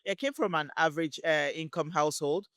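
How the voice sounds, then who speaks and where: A woman from Lagos, speaking as a guest in a relaxed interview. Her voice is smooth and clear, and the recording is close and dry. She talks thoughtfully, pausing now and then to find the right words. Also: sits low, no echo. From Lagos, Nigeria. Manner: thoughtful, unhurried, pauses to search for words. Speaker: guest, community relations worker. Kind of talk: casual interview chat.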